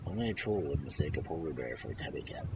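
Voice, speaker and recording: A man reading folktales aloud as host, in an expressive way with dramatic pauses and casual asides. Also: rumbling low, vocal fry, heavy background noise. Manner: animated, dramatic pauses and emphasis. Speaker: storyteller and host of a live reading stream.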